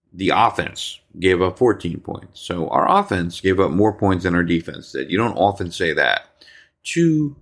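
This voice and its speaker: A man with a New Jersey accent hosting a sports talk podcast, his voice slightly hoarse, rambling with repeated words and ums. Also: low voice. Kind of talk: casual sports talk monologue.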